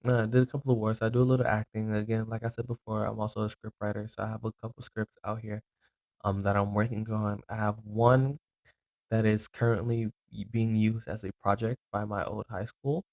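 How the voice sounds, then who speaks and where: Man in his twenties, low-pitched, casual rambling podcast host. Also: flat delivery, a little fast, darkish tone, no echo. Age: 20s. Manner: rambling, loose, with many ums and self-corrections.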